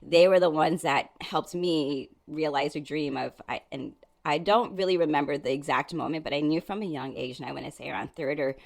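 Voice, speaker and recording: A woman's voice: low voice, a little fast, creaky, few pauses. A middle-aged woman from Brooklyn, a podcast guest, telling her life story earnestly in a conversational way, with many casual fillers. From Brooklyn, New York. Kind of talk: conversational interview.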